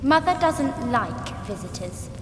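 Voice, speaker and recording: A woman's voice: fairly high pitch, big pitch swings, measured pace. An actress playing an eerie, playful little vampire girl in a video game, coaxing in a sing-song manner. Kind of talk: video game cutscene acting. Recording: echoey hall with background ambience noise.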